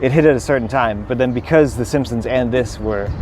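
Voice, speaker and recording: A Danish-born man with an American accent tells stories eagerly, rambling and full of fillers. His voice sits at a middling pitch for a man. He was recorded outdoors at a busy pier, with traffic clearly audible behind him. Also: brisk, a little mellow. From Denmark. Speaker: podcast guest. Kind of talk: casual conversation. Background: city traffic.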